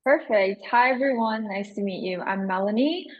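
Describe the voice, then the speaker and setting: A woman's voice: medium pitch, normal speed, dull audio. A woman from Shanghai and Toronto, an alumna panelist giving an upbeat introduction over a video call.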